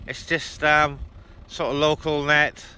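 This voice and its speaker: A man's voice: on the high side, little pitch movement, takes it slowly, pushed and bright, a little hiss or hum. A man vlogging casually and talking on the radio from inside a parked car, addressing viewers informally. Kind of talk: casual vlog chat and radio talk.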